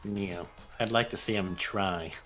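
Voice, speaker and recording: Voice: low-pitched, steady tone, a little slow, fairly bright tone. A performer in an amateur game fan dub voicing a guarded, defensive young woman in a blunt, dismissive manner. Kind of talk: fan dub of an anime detective game, breaking into ad-libs. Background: background music.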